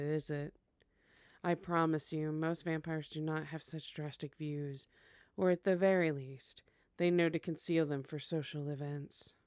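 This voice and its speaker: Woman doing calm polite vampire guide lady, very low smooth voice, slow, asmr roleplay. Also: no echo, studio quality. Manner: formal, polite, measured. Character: calm, gracious female vampire attendant guiding a guest. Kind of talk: ASMR audio roleplay acting.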